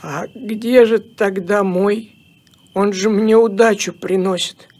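A woman voicing an anxious, money-loving male farmer cat in an animated series, with a dramatic, exclamatory manner. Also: medium pitch, slow pace. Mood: dismayed.

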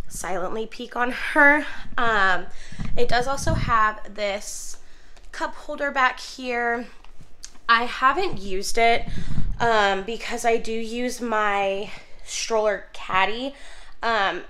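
A woman's voice: medium pitch, bright, forceful tone, a little hiss or hum. A young woman getting over a cold and losing her voice, chatting through a casual stroller review in her nursery at home, full of ums and likes. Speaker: mom vlogger reviewing a stroller. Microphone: handheld phone camera, then a camera set on the floor.

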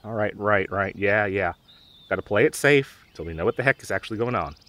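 A young man playing a dorky, nervous, protective gamer best friend in an audio roleplay, with halting, awkward delivery. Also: on the low side, expressive, a bit bright. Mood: uneasy. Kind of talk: audio roleplay drama, conversational.

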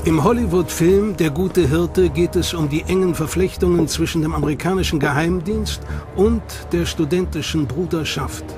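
A man's voice: fairly high pitch, moderate pace. Serious male documentary narrator over music. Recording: music bed under voice.

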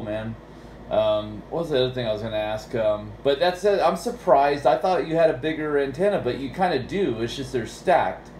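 A man's voice: mid-range voice, darkish tone. An American man chatting casually and at rambling length about technical matters as a radio operator. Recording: roomy, with background radio noise.

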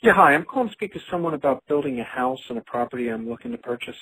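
A man's voice: medium pitch, animated, rapid-fire, bright, forceful tone, a little hiss or hum. A man with an American accent making a customer inquiry in a recorded phone call. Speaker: caller.

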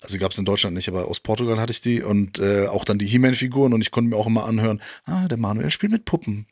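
A man's voice: lowish voice, steady tone, rapid-fire, no room sound, clean studio sound. A man, chatting casually as a guest in slangy talk full of tag questions. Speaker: guest on a retro TV talk show. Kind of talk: casual fan chat. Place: small indoor room with shelves of media. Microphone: handheld microphone.

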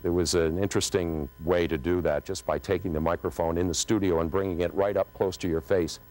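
Deep-voiced middle-aged male storyteller. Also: fairly even intonation, brisk, darkish tone. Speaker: former radio broadcaster telling a story. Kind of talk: polished anecdotal storytelling to an audience. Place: on a stage in front of a curtain.

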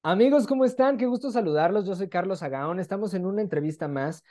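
A young man with a Mexican accent hosts a casual online interview. His tone is mellow and relaxed, his manner chatty and enthusiastic, picked up on a video call. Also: sits high, fast. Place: small room at home, on a video call.

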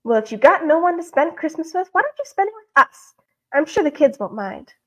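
High-pitched, fussing motherly woman, as a reader plays her. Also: big pitch swings, fairly quick, a little mellow. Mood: inviting. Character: motherly, fussing, hospitable wife.

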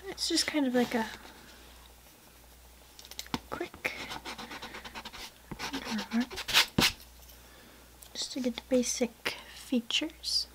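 A young woman soft-speaking in an ASMR roleplay, gentle and hushed, sometimes slipping into a whisper, close to the mic. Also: sits high, drawn-out words, pauses often, poor audio. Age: young adult. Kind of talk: soft-spoken ASMR personal attention roleplay. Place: small wood-paneled room indoors.

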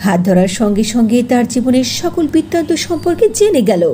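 A woman's voice: on the high side, sing-song, fast talker, warm tone. A woman narrating a scripted fairy tale as a storyteller. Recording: faint noise under voice-over.

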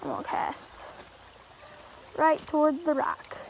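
A woman's voice: sits high, huge pitch swings, slow, gravelly, slight background noise, low-quality recording. A woman, chatting easily to viewers while fishing by a mountain creek. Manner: chatty, easygoing, talks to viewers. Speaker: fishing vlogger. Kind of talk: casual running commentary while fishing. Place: outdoors by a wooded mountain creek. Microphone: handheld phone camera.

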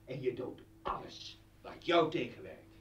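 A man's voice: medium pitch, sing-song, unhurried, fairly bright tone, dull audio, a little hiss or hum. An actor playing a disdainful liberal intellectual man in a radio play, defiant, accusing and indignant. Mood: bitter, accusing. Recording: echoey room, distant from mic.

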